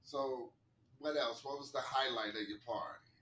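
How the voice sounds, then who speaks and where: A man's voice: mid-range voice, normal speed, sharp tone, slight background noise. A man co-hosting a podcast with playful, hype, slangy banter. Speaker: podcast co-host. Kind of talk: casual chat. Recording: roomy, distant mic.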